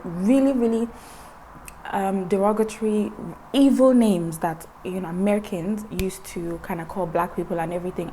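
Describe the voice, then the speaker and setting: A woman's voice: on the low side, a little mellow, slight background noise. A young woman in her twenties who grew up in Ireland, vlogging a personal story to camera with an earnest manner, many fillers and restarts.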